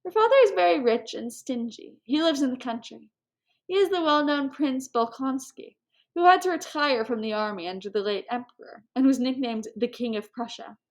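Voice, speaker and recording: A woman reader voices a gushing, meddlesome society hostess from a classic storybook. Her voice sits somewhat high, and her manner is courtly and confiding, tinged with coy melancholy. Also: normal speed. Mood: confiding, persuasive.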